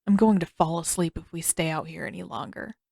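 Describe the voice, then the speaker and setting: A woman voicing a guarded, moody teenage prince, speaking in a terse, grudging, low-key way. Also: fairly even intonation, a little fast, creaky, darkish tone, dry recording, studio quality. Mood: wry, tired.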